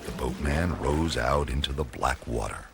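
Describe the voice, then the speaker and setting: A man narrating a scripted radio drama as a storyteller, with deliberate phrasing. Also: extremely low pitch, vocal fry, noisy background. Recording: loud music under the voice.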